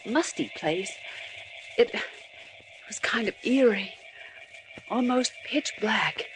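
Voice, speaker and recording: An actress playing a plucky, insistent young newspaper reporter in a 1940s radio drama. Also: female voice, medium pitch, natural voice, poor audio. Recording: old radio recording, faint noise.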